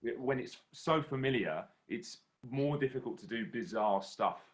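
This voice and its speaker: A man's voice: mid-range voice, lively intonation, normal speed. A young man from southern England, a singer in an online masterclass, speaking in an articulate, considered way with hesitations over a video call. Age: young adult.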